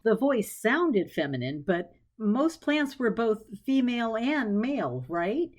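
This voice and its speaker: A woman narrating a scripted story as an expressive storyteller. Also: on the high side, slow.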